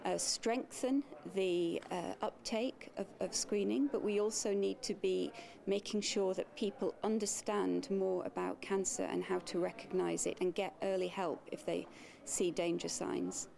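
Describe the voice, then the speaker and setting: A woman giving an interview statement in a steady way with some fillers. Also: medium pitch, a little slow, gravelly.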